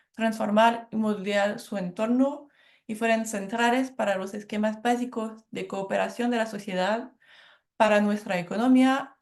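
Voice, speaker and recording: A French woman speaking Spanish with a French accent, giving a measured academic presentation and correcting herself on harder words. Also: mid-range voice, darkish tone. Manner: measured, careful, with self-corrections on hard words. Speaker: lecturer.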